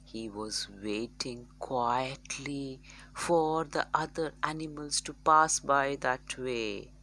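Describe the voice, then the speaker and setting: A woman storyteller narrating for children in an expressive, dramatic way, addressing young listeners directly. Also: rumbling low.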